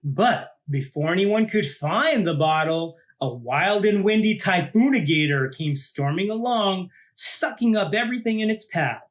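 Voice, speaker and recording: A man, a teacher, reading a children's picture book aloud in an informal, expressive way with casual asides. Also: high voice, a little slow, few pauses.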